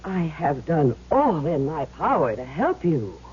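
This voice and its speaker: An actress playing a fed-up, sharp-tongued woman who works as a fake medium in a vintage radio drama. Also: low-pitched, sing-song, unhurried. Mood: gracious, placating. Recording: muffled noisy old radio recording.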